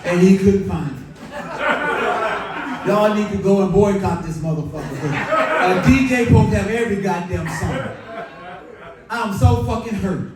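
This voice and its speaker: A man's voice: high-pitched, measured pace, pauses often, a loud crowd. Profane stand-up man in his sixties. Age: 60s. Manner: loud, profane, rambling storytelling. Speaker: stand-up comedian. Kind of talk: live stand-up comedy. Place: comedy club at a bar and grill. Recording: echoey club recorded from far off. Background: audience laughter.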